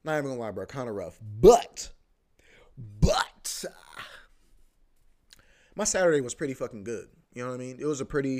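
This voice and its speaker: A young man hosting a solo podcast, rambling in a laid-back slangy way and saying "bro" and "you know what I mean" all the time. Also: medium pitch. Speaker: podcast host; comedy show host.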